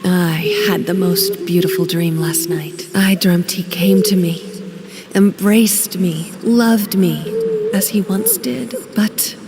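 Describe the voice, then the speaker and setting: Wistful, wronged wife in echoing gloom. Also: female voice, lowish voice. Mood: wistful, bitter. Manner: wistful, bitter, measured delivery. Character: melancholy, neglected wife of an obsessive painter. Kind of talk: dramatic game monologue. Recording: noisy, long reverberant hall sound.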